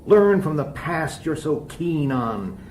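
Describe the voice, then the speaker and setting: An actor playing a hot-tempered, impatient police detective in a radio drama, arguing emphatically and with exasperation. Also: male voice, fairly high pitch, big pitch swings, slow pace, a little mellow, a little hiss or hum. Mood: impassioned, argumentative. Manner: emphatic, exasperated delivery with rhetorical questions.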